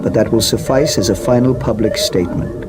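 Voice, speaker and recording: An actor playing an ancient, stern and calm alien machine intelligence in a video game, speaking in formal, measured proclamations. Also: male voice, on the low side, very noisy, natural voice. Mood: stern, judging. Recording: loud music under the voice.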